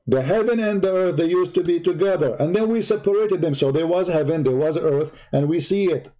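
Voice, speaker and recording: A man's voice: fairly high pitch, fairly quick, mellow, low-quality recording. A man whose first language is Arabic, hosting a live stream, speaking in a combative, mocking way and repeating rhetorical questions. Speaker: online debate host. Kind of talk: heated live-stream commentary.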